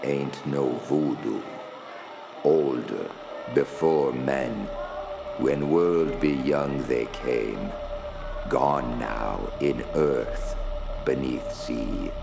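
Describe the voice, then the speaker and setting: An actor playing an old weathered sailor and cryptic cultist in a radio horror drama, speaking broken English in an ominous, gravelly voice. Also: male voice, drawn-out words, music in the background, heavily acted. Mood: ominous, reverent. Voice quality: very deep, gravelly. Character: ancient weathered sailor, cryptic doom-laden cultist prisoner.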